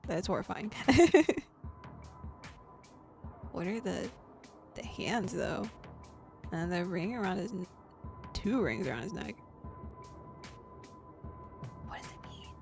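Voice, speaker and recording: Female streamer, gravelly timbre, reads game text aloud with lively reactions; faint music bed. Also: mid-range voice, measured pace, pauses often. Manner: animated reactions, gasps and laughs between read passages. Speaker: streamer reading a visual novel aloud. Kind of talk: game playthrough, reading text plus reactions.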